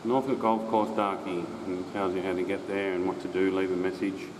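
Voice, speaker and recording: A laid-back man with a fairly low voice rambles as he walks through an underground drain. His words bounce around the concrete tunnel, and a steady rush of noise sits underneath. He swears freely and talks in a loose, unhurried way. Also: roomy sound. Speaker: drain explorer host. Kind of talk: casual walkthrough narration.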